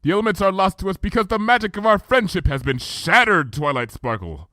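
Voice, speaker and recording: A man's voice: high voice, lively intonation, fairly quick, pushed and bright, no room sound, clean studio sound, pitched above the performer's own voice. A man voicing a regal, archaic-speaking female moon princess pony in a fan fiction reading, with formal thee-and-thou speech. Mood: solemn.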